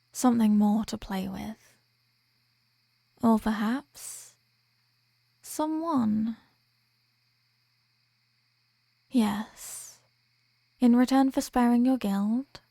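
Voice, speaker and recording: Woman acting a slow, dark-toned, seductive female vampire. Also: a little high, vocal fry, no echo, clean studio sound. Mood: seductive, possessive. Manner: slow, teasing, condescending, softly threatening. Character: sadistic, seductive female vampire, dominant and menacing. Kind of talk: ASMR roleplay, scripted dramatic monologue.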